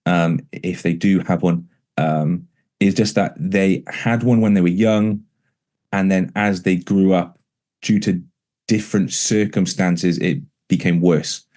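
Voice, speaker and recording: A man's voice: deep voice, dark tone. A man, a pastor, talking in an interview conversation, with a stammer that shows in repeated words and restarts.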